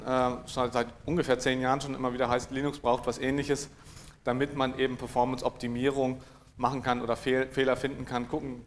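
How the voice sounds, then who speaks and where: A man from northern Germany lectures on a technical subject in a dry, understated way. He hesitates often, fills pauses and clears his throat between points. His voice sits at an ordinary middle pitch over a faint background hiss. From East Frisia, northern Germany. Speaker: conference speaker. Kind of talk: technical talk to a live audience.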